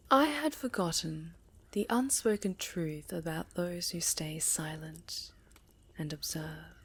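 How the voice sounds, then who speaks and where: A woman playing a sinister, seductive necromancer villain in a fantasy ASMR roleplay, soft-spoken and half-whispered, with menacing, deliberate delivery. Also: sits low, a little hiss or hum, exaggerated voice. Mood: brooding, menacing. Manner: slow, deliberate, menacing, savoring pauses.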